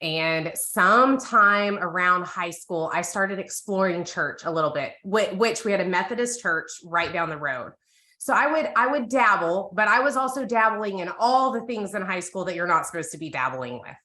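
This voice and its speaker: A woman's voice: mid-range voice, lively intonation, moderate pace, bright, forceful tone, echoey room. An American woman in her thirties giving a candid, casual personal testimony as a co-host. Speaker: co-host of a women's Bible reading group.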